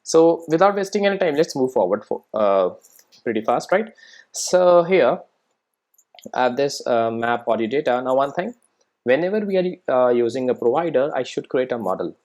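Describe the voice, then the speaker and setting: A software instructor giving an informal screencast coding tutorial, explaining step by step and often checking that listeners follow. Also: medium pitch, sing-song, moderate pace. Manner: explanatory, frequently checking understanding with tag questions.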